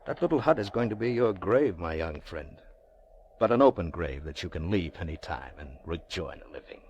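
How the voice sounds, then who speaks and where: Casting: middle-aged moneyed banker, low-pitched, highly animated, condescending yet pleading. Old, noisy radio recording. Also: male voice, moderate pace, highs cut off. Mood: grave persuading. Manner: theatrical, rhetorical, swinging from musing to urgent pleading. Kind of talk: old-time radio drama acting.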